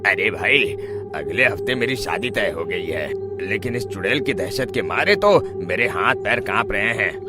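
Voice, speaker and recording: A man's voice: fairly low pitch, animated, normal speed, loud music behind the voice, lightly acted. A man voicing a nervous young village bachelor in a cartoon story, fearful and protesting. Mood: anxious.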